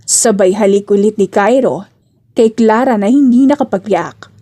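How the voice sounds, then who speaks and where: A woman's voice: medium pitch, normal speed, warm tone. A woman reading scripted romance narration as an audiobook narrator.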